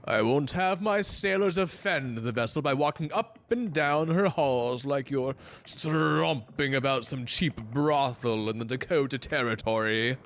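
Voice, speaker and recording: A man playing a slow, pompous ship's first mate. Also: mid-range voice, a bit bright. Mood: stern, indignant. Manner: pompous, florid archaic phrasing. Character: pompous, fussy first mate of an old sailing ship. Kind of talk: theatrical audio drama acting.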